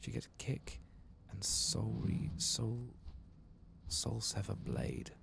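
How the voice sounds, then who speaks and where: A soft-spoken man murmuring ASMR commentary as he plays a video game. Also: sing-song, slow. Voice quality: deep, gravelly. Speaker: video game streamer and host. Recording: heavy background noise with game ambience.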